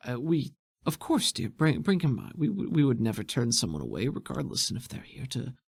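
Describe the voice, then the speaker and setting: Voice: mid-range voice, sing-song, creaky, slightly rough, no room sound, studio quality, heavily acted. Someone doing a timid, stammering, flustered parent. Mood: flustered, polite. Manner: halting, stammering, repeats words.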